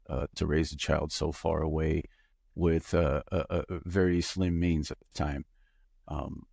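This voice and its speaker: A very low, gravelly male voice in his fifties tells his story in a relaxed, reflective way, often pausing with fillers. He is from Bulgaria and is a startup founder speaking as an interview guest. The sound is dry and close, with no room echo. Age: 50s. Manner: reflective, hesitant with many fillers.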